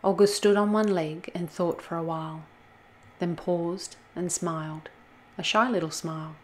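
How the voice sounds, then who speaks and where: Slow, low-pitched woman storyteller. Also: steady tone, slight background noise. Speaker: children's storyteller, channel host. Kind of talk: expressive storybook read-aloud. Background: soft music.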